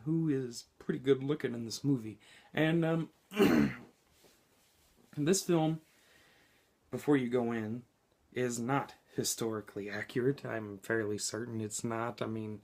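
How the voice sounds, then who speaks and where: A man talks through a movie review while sick, his voice hoarse and a little scratchy. He keeps it loose and conversational at a middling pitch, often pausing on an um or correcting himself mid-sentence. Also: darkish tone. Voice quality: slightly scratchy. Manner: chatty and informal, frequent ums and self-corrections. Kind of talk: casual spoken movie review.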